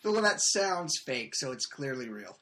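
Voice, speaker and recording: A man hosting a casual comedy podcast, riffing with opinionated, quick takes. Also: medium pitch, sing-song, sharp tone, slight background noise. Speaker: podcast host.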